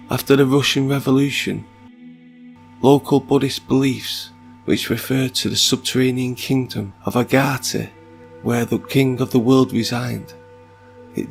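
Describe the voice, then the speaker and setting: A man with a croaky, sore-throated voice, reading passages aloud and then musing on them in casual asides. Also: medium pitch, poor audio. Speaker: video narrator. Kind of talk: reading and commenting on mythology.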